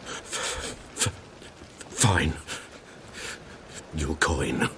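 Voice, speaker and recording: An actor playing a cowardly merchant in a video game, pleading in a whimpering, wheedling manner. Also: male voice, a bass voice, drawn-out words, fairly bright tone, a little hiss or hum, exaggerated voice. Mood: grudging fear. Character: cowardly, pleading male merchant begging for mercy.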